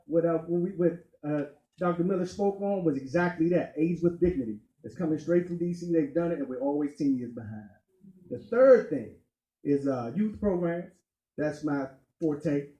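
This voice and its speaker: A man speaking as a candidate at a community meeting, emphatic and deliberate, pausing on key points. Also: on the high side, lively intonation, warm tone, muffled recording. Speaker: county council candidate. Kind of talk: campaign speech at community meeting. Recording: echoey meeting room.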